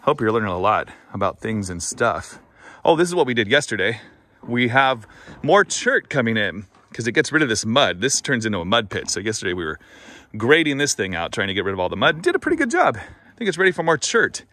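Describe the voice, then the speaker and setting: A man's voice: mid-range voice, sing-song, a little fast, bright, forceful tone, dry recording. A man in his thirties vlogging casually outdoors, making dry, self-mocking jokes into a phone held at arm's length. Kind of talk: casual vlog narration. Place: outdoors on a farm. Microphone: phone camera held at arm's length.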